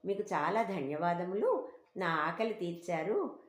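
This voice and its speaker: A woman's voice: lowish voice, expressive, a little slow. Courteous, hungry male wayfarer for a storybook, played by a woman, grateful and reasonable, echoing room. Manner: polite, reasoning. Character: hungry, grateful male traveller, polite and practical. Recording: roomy, echoing home recording.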